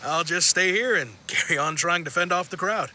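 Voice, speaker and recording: An actor playing an easygoing, friendly young-sounding sailor in a video game, chatty and casual. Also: male voice, sits high, normal speed, pushed and bright, slight background noise. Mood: impressed, casual. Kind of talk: video game cutscene acting.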